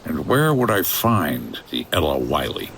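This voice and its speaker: Casting: actor as hardboiled 1950s American man, low pitch, gruff, wry hotel owner for a radio mystery. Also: very animated, unhurried, a bit bright, a little hiss or hum, full character voice. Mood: probing. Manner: dry, hardboiled. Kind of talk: old-time radio detective acting.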